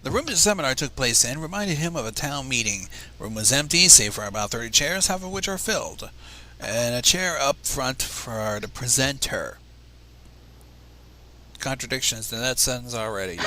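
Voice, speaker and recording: A man's voice: mid-range voice, lively intonation, normal speed, sharp tone. A man reading a fan fiction story aloud on a livestream and breaking off for mocking asides.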